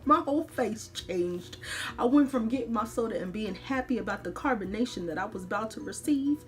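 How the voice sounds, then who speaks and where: A woman podcast host telling a personal story in an animated, colloquial way, dramatically acting out the dialogue. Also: on the high side.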